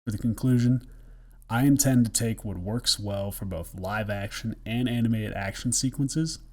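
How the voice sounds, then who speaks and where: A young man, a student, reading a project presentation aloud in a steady, scripted way, a bit hoarse from the flu. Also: fairly low pitch, normal speed. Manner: steady, scripted, list-like delivery.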